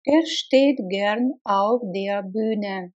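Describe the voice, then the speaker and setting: A woman teaching phrases, saying each one slowly and deliberately and repeating it for learners. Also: medium pitch. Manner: slow, deliberate, clearly spaced repetitions. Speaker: language teacher. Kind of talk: slow repeated phrase drills. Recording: slightly dull lo-fi sound.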